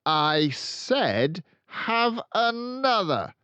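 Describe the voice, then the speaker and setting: A man voicing a tyrannical, bullying headmistress in a storybook, shouting and drawing out commands. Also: sits high, big pitch swings, smooth, dry recording, pitched above the performer's own voice, exaggerated voice. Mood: sharp, menacing. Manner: shouting, drawn-out commanding delivery. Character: Trunchbull, tyrannical bullying headmistress, loud and menacing woman.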